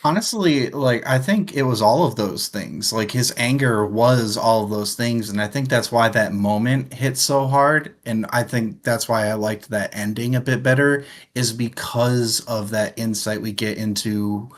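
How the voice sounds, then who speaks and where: A man's voice: mid-range voice, a little slow, hardly any pauses. A man co-hosting a book club, giving his thoughts on a book in casual conversation.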